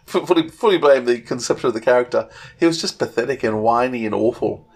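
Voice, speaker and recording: A man's voice: medium pitch, a little fast. A middle-aged man co-hosting a podcast in casual fan chat, opinionated and often hesitating as he thinks aloud. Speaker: podcast co-host.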